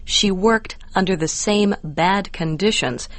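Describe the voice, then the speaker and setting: Slow, careful American woman narrator. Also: mid-range voice, no echo. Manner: measured, careful enunciation. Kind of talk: slow scripted educational narration for English learners.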